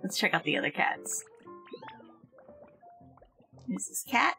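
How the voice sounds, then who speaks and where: A woman's voice: medium pitch, very animated, slow, bright, forceful tone, soft background music. A woman livestreamer chatting casually as she plays a game, on a close mic. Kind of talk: casual game stream chat.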